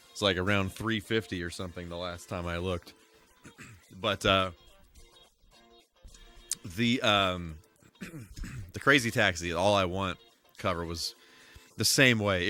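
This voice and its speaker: Man, live streamer, bright forceful voice, casual rambling chat, music in the background. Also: low voice. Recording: music playing behind the voice.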